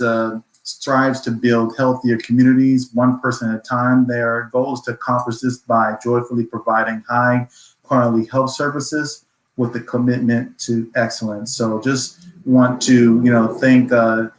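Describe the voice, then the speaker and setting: Guy panelist reading out remarks, pretty monotone, bit halting, echoey room sound. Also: medium pitch. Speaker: organization chief executive, panelist. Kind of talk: prepared remarks on a virtual panel. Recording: roomy, echoing sound.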